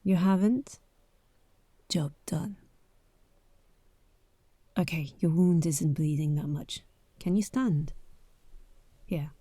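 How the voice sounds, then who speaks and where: A bold adventurer heiress played by a woman speaks slowly and close in a dry space. She is caring yet wary, with breathy asides and hesitant pauses, as in an audio roleplay aimed at the listener. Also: deep voice, warm tone, no echo, heavily acted. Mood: hushed, wary.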